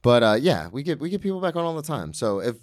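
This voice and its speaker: A man's voice: medium pitch, very animated, quick pace, dry recording. A young man hosting a casual comedy podcast, talking loosely with lots of fillers and restarts. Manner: loose, rambling, filler-heavy. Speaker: podcast host. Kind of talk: casual interview chat. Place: small studio at a table.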